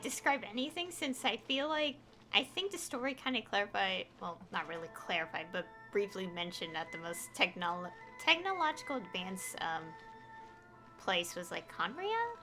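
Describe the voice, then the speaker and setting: A woman's voice: a little high, big pitch swings, normal speed, pushed and bright. A woman game streamer giving casual, rambling commentary and often correcting herself as she goes. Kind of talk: casual gameplay commentary, reading dialogue aloud. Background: game music.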